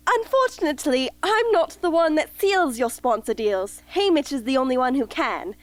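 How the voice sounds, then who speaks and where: A bubbly, fussy female escort for a radio play. Her voice is extremely high and swoops animatedly through theatrical, chirpy lines, recorded close and dry. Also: expressive, normal speed, dry recording. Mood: eager. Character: bubbly, fussy, upbeat woman escort to young tributes. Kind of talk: amateur radio drama acting.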